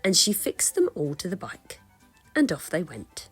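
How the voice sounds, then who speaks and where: Female storybook narrator, expressive and unhurried, with swooping intonation and a slightly husky tone. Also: fairly low pitch, soft background music. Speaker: children's book reader. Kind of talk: expressive storybook read-aloud.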